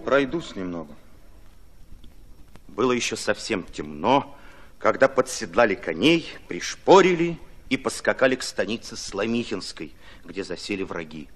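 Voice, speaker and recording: An actor playing a thoughtful Red Army commissar who narrates a battle in measured, literary, dramatic style. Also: male voice, on the low side, very animated. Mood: watchful, uneasy.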